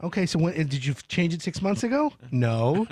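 Animated male radio host, lively voice. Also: a little high, normal speed, dry recording. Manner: animated, emphatic, playful storytelling. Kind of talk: radio call-in interview. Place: small radio studio. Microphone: large studio mic up close.

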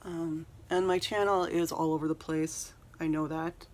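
A soft-spoken woman in her thirties chatting casually while doing her makeup, rambling and saying "um" a lot, recorded on a phone in a small bedroom. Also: low-pitched, fairly even intonation, measured pace. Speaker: beauty vlogger. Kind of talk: casual get-ready-with-me chat. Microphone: phone camera at arm's length.

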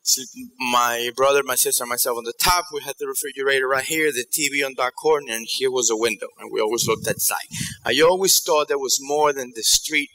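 A man in his forties from New York and Ecuador giving a conference presentation in an informal, halting way, often repeating words. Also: mid-range voice, sharp tone, rough recording. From South Bronx, New York and Ecuador. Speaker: keynote speaker. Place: auditorium stage at a podium.

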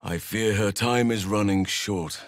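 A male actor playing a grave, earnest elf druid in a video game, speaking solemnly in measured, formal phrases. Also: fairly low pitch, dry recording, clean studio sound, natural voice. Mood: calm, measured. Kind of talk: scripted game voice lines.

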